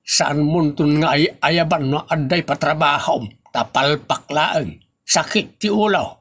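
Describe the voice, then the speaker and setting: A man's voice: fairly high pitch, unhurried, a bit bright, slightly rough, full character voice. A man playing a grumbling, sceptical husband in an Ilocano comedy audio drama, emphatic and with an Ilocano accent.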